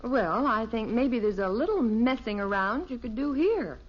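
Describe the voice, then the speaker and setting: An actress playing a calm, practical suburban wife and mother in a 1950s radio sitcom, gently teasing and matter-of-fact. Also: on the high side, normal speed. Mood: gently firm. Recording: dull noisy old radio, audience behind.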